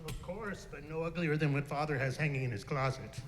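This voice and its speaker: A man's voice: on the high side, brisk, long reverb tail, close to an everyday voice. A man playing wry, dry old Kurt. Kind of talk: live stage comedy skit. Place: theater stage. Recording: far off with room noise.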